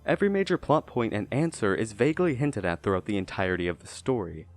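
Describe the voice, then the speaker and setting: A man narrates a scripted review in a fairly low voice. His delivery is measured and deliberate, like an analytical essay read aloud. Music plays faintly behind him. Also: expressive. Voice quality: low-pitched voice. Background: background music.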